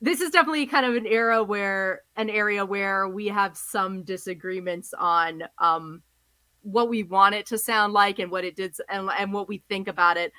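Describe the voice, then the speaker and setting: A woman co-hosting a podcast in casual discussion, hesitant, with many fillers, and quick to laugh. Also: medium pitch, bright, forceful tone, smooth, no room sound. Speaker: podcast co-host.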